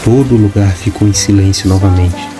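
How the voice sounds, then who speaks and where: A man reading a storybook in a steady, scripted narration. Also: lowish voice, fairly quick. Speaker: audiobook narrator. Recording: music playing behind. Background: music.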